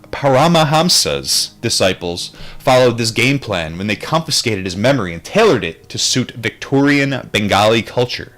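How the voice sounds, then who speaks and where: A man's voice: lowish voice, fairly bright tone. A man reading a book aloud and adding his own casual, rambling commentary, with wry and reflective asides.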